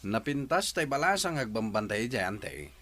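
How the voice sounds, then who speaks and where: A man's voice: mid-range voice, sing-song, moderate pace, a bit bright. A man playing a playful, flirtatious village bachelor in a comedy radio drama, with an Ilocano accent.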